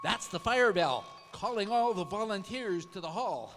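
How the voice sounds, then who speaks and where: An actor playing an excitable volunteer fireman in an old-time radio show, recorded in an echoey, noisy hall. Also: high voice, moderate pace, loud music behind the voice. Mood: urgent. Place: auditorium stage, live rehearsal of radio show. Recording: echoey hall, clear background noise.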